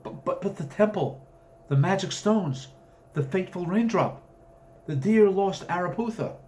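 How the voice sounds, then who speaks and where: A man's voice: high-pitched, lively intonation, measured pace, a little mellow, a little hiss or hum, voice pushed up. A man voicing a pompous old colonel who tells florid, melodramatic adventure tales in a storybook reading. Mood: pleading.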